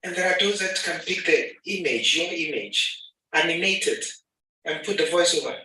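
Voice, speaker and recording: Ghanaian man giving a lively talk, very bright tone, booming hall, mic set back. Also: normal speed. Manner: animated, acts out dialogue. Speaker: speaker. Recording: echoey hall, mic at a distance.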